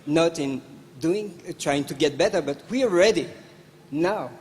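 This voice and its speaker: Slow, earnest man in a reverberant hall. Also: fairly high pitch, animated, darkish tone, slight background noise. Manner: slow and searching, posing open questions. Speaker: panelist. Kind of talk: earnest, reflective remarks. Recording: long hall reverb with faint ambience.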